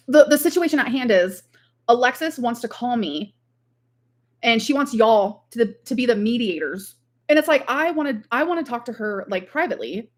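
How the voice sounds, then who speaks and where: A woman chatting casually on a livestream in a rambling way, peppering her talk with "like". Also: on the high side. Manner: rambling, frequent "like". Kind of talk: casual livestream chat, reading out viewer comments.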